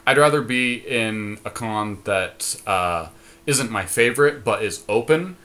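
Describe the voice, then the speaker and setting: A man's voice: on the low side, expressive, measured pace, sharp tone. A man co-hosting a podcast, chatting casually and in an easygoing, joking way over a card game draft. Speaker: podcast co-host.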